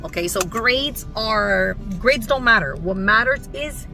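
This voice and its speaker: A woman's voice: on the high side, lively intonation, measured pace, gravelly. A woman narrating a vlog as a homeschooling mother, speaking in short emphatic phrases and posing rhetorical questions. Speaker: homeschooling mother and video host. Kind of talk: scripted-sounding narration for a vlog. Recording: music playing behind the voice.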